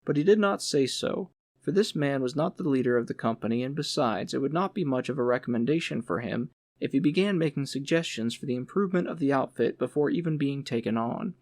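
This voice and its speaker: A man's voice: mid-range voice, animated, a little fast, darkish tone, no room sound, clean studio sound. A man reading literary fiction aloud as an audiobook narrator.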